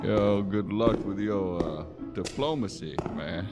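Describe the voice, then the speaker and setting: An actor playing a laid-back, self-deprecating slacker in an audio drama, drawling casual slang with loud music around him. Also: male voice, lowish voice, slow pace.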